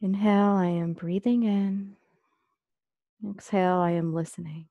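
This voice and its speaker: A woman leading a guided meditation as an instructor, speaking gently and unhurriedly with long pauses. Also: medium pitch, fairly even intonation, no room sound, highs cut off.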